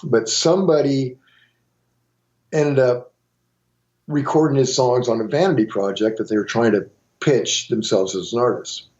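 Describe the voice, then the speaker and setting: A man's voice: mid-range voice, moderate pace, pauses often, darkish tone, dull audio. A middle-aged man from Montana, a guitarist and songwriter, telling rambling stories in a casual interview with many restarts. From Montana, USA.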